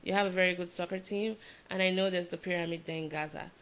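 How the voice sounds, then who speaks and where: A woman from Nigeria hosting a casual interview, asking questions and teasing her guest with quick exclamations. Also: on the low side, steady tone, a bit bright. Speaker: podcast host. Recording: dull lo-fi sound.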